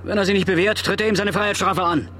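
A man's voice: high-pitched, steady tone, quick pace. An actor playing an earnest, irritable male teacher in a radio drama. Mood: indignant. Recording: loud vehicle noise around the voice.

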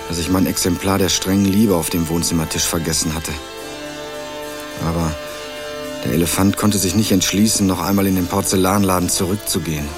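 A man plays an earnest young student sleuth in a radio crime drama. His voice is fairly low, with dry, articulate phrasing and a wry edge. Music is clearly heard with him. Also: natural voice. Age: young adult. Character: earnest, wryly sardonic young male student turned amateur investigator. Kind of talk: German radio crime drama acting and first-person narration.

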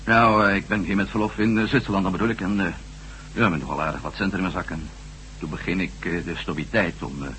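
A man's voice: deep voice, steady tone, quick pace, pushed and bright. A man playing a disguised newcomer posing as a humble mechanic, hesitant and deferential, in a Dutch radio drama. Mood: hesitant, affable. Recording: noisy old radio play with hum.

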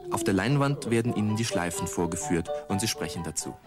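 A man narrating an archive TV documentary, explaining clearly to children. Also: lowish voice, animated, a little fast, loud music behind the voice.

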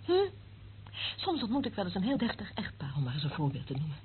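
A woman's voice: medium pitch, huge pitch swings, fast talker. An actress playing a cynical female eavesdropper in a radio play, sardonic and theatrical, relishing what she knows. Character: cynical, gloating female eavesdropper of a secret service. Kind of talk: radio drama acting. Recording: narrow tinny old radio recording, faint noise.

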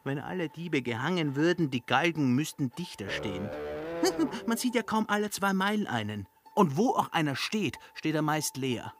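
An actor in a comic radio play playing a brash, cynical petty highwayman with a mocking, exclamatory delivery. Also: sing-song, moderate pace, over music. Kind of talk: German radio play, comic period acting.

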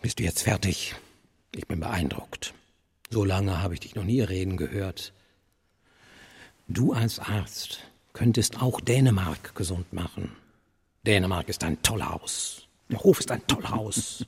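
An actor playing a cynical, urgent older intellectual mentor in a radio play, persuading insistently with a husky, gravelly voice. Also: male voice, lowish voice, full character voice. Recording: long reverberant hall.

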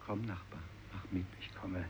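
Casting: man playing furtive village fisherman, low hushed murmur, terse lines, muffled and noisy old radio recording. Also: steady tone, normal speed, lightly acted. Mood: secretive. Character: secretive village fisherman fleeing home with his neighbours. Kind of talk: choral radio drama lines.

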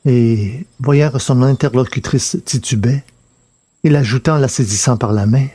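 A man's voice: mid-range voice, normal speed, dark tone, slightly rough, dry recording. A man reading a scripted science fiction adventure as narrator.

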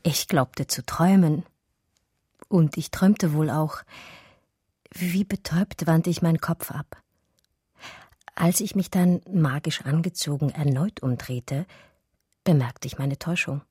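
An actress playing a romantic, daydreaming art historian, narrating her memories in measured, intimate, literary radio drama style. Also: low voice, warm tone, slightly rough, dry recording, studio quality, full character voice. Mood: entranced, intimate.

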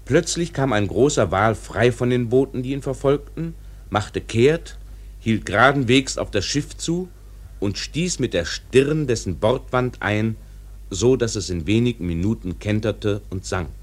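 An actor playing a sober chronicler in a radio play, narrating in a measured, matter-of-fact way. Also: male voice, mid-range voice, animated. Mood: sober, factual. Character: sober male chronicler reporting historical shipwrecks.

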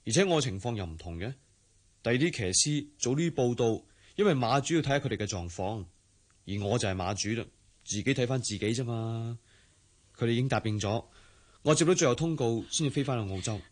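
A man's voice: mid-range voice, animated, fairly quick, lightly acted. A man playing a confident, easygoing young jockey and doting fiancé in a Cantonese radio drama, with a teasing manner. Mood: reassuring. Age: young adult.

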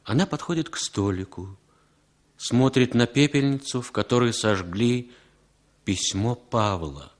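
An actor as a solemn, declamatory narrator in a 1960s radio drama, putting rhetorical questions. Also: male voice, medium pitch, animated, measured pace, close to an everyday voice. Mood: grave. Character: solemn, dramatic narrator of a Cold War story. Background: music.

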